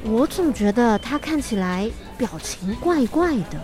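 Curious, caring mom, fairly high and very animated, radio drama for children. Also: female voice, measured pace, over music. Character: curious, caring mother running a night market stall.

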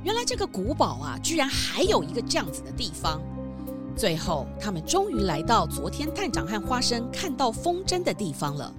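A woman narrating a children's audio story in an expressive storytelling style. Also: on the high side, moderate pace, music in the background.

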